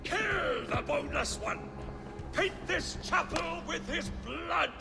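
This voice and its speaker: A man playing a proud, vengeful British king in a video game, slow and declamatory with contempt. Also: very high voice, lively intonation, creaky, full character voice. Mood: defiant fury. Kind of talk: dramatic video game acting. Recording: long hall reverb, loud music.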